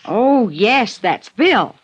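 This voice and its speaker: A woman's voice: fairly high pitch, sing-song, drawn-out words, lo-fi sound, slight background noise, full character voice. An actress playing a tired, distressed woman witness in an old-time radio detective drama. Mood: weary, upset. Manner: weary, plaintive.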